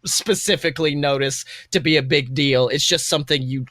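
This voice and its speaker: A man's voice: on the high side, a little fast, a bit bright, no echo, clean studio sound. A man improvising tabletop game narration as game master in an informal, conversational storytelling manner. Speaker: game master and podcast host.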